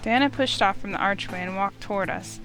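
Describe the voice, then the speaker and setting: A woman reading a visual novel aloud as expressive first-person narration. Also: mid-range voice, fairly quick, music in the background.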